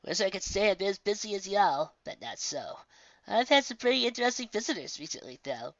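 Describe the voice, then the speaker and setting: A woman's voice: medium pitch, big pitch swings, a little fast, fairly bright tone, no echo, muffled recording. A woman voicing a chatty old country woman from a storybook, folksy and rambling. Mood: chuckling, friendly. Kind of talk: storybook character voice.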